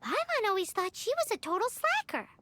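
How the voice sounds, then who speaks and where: A woman voicing a tiny, excitable, cheeky fairy companion in an animated video game, exclaiming in a childlike way. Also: very high-pitched, a little fast, dry recording, exaggerated voice. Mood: puzzled, indignant. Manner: refers to self in third person, exclaiming. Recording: loud music behind the voice.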